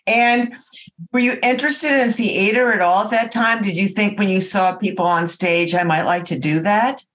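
A woman interviewer asks gentle questions in a mid-pitched, everyday voice. Her tone draws the guest out and encourages him to keep talking about his past. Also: normal speed. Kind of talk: interview conversation.

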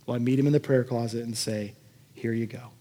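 A man's voice: medium pitch, fairly even intonation, fairly quick, mellow. A man preaching as a pastor in a conversational sermon, earnest and given to emphatic repetition. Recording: echoey hall with long reverb.

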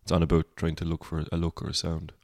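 This is a Cork Irish man with a deep baritone voice that sits very low. His delivery stays level, with little rise and fall. He sounds reflective and wanders through his thoughts in a casual podcast chat, recorded close with a dry sound. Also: completely flat delivery, fast talker, gravelly, a little mellow, no room sound. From Cork, Ireland. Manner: reflective, rambling. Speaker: singer-songwriter guest.

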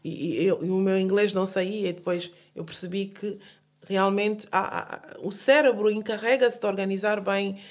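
A woman's voice: medium pitch, steady tone, normal speed. A woman, answering an interview in an earnest way, often restarting and repeating words. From Portugal. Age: 40s. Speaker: guest. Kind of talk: interview conversation.

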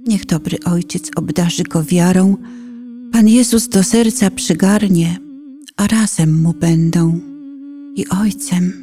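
A woman narrating a poetic religious play, reciting a penitential prayer in a solemn, pleading, dramatic way with hushed phrase endings. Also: fairly low pitch, slow.

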